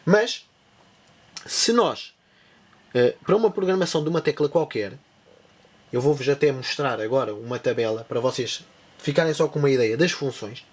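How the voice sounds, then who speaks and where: A man's voice: mid-range voice, animated, normal speed, pauses often. A man with a European Portuguese accent giving a casual spoken tutorial, rambling, with many restarts and asides. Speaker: tech channel presenter.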